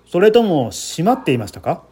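Man playing a calm, lower-voiced shrewd police inspector. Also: husky, full character voice. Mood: probing. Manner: measured, probing, composed.

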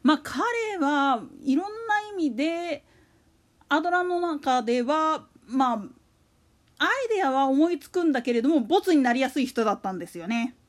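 A woman hosts a solo show in a high-pitched voice. Her intonation swings widely as she talks. The delivery is a casual monologue, full of colloquial, slangy turns. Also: expressive, moderate pace. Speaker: solo podcast host. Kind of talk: casual monologue about a radio announcer.

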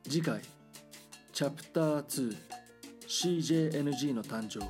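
A man reading scripted documentary drama narration in a measured, serious news-report manner. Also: a little high, darkish tone, soft background music.

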